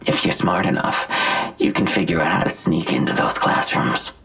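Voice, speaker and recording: An actor playing a smug, menacing vigilante villain in an audio drama, articulate and self-righteous, with a gravelly, husky voice and a deliberate, taunting delivery. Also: male voice, low-pitched, wildly swooping pitch, fairly bright tone, soft background music, exaggerated voice. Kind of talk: full-cast audio drama acting.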